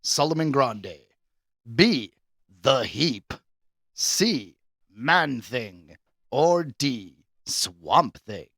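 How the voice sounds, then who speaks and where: A man's voice: mid-range voice, very animated, extremely slow, long pauses, fairly bright tone, no room sound. A man hosting a pop culture quiz on a podcast in a casual, chatty style, announcing questions and verdicts theatrically. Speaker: podcast host and quizmaster.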